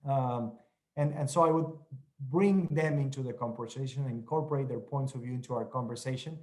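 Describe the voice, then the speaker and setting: Mexican-accented man, halting panel talk. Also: medium pitch, darkish tone, rough recording. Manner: halting, many restarts and repeated words. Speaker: journalist panelist. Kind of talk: online panel discussion.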